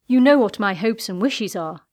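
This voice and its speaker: A woman playing a proud, ardent young gentleman in an audiobook, speaking with formal, declamatory intensity. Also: medium pitch, moderate pace, lightly acted. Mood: intense, ardent.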